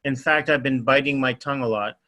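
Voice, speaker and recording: Male participant, bright and sharp tone, earnest, video call. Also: mid-range voice, a little fast. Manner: earnest, hesitant with um. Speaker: folklore collector, participant. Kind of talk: casual remarks on a video call.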